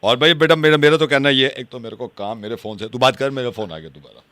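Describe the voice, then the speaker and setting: A man's voice: mid-range voice, fast talker, bright, forceful tone, no room sound, lightly acted. A man playing a jovial, pushy middle-aged father on a prank phone call, chuckling and repeating himself in a familiar way. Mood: breezy, busy.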